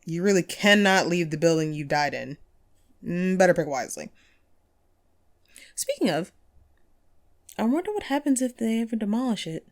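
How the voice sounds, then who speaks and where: A woman's voice: fairly low pitch, sing-song, moderate pace, long pauses. A woman playing a friendly, wistful ghost who hosted a paranormal radio show, chatty and playful in an intimate audio roleplay. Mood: chatty, wry. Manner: playful, conversational, self-deprecating, breaks into chuckles. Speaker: radio host.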